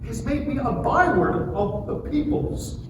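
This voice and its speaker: A man playing an anguished, defiant man pleading against God, declaiming with drawn-out phrases in a dramatic scripture reading. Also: very high voice, sing-song, full character voice. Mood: weary grief. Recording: distant, very echoey, noisy live room.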